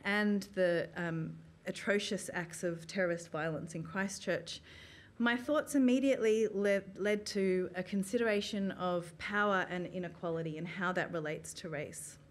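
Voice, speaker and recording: A woman in her thirties, an academic, giving a prepared public talk from notes in a small room, measured and earnest, with frequent ums. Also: on the low side. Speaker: academic speaker. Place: small gallery room with an audience, indoors. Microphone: stand mic, filmed from the audience.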